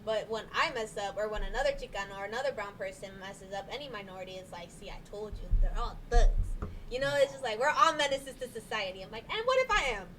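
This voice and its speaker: A woman's voice: medium pitch, animated, quick pace, sharp tone. A teenage woman speaking with passion in casual discussion, acting out the voices she quotes. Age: teens. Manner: impassioned, acts out quoted voices. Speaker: podcast guest. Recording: distant mic, some noise.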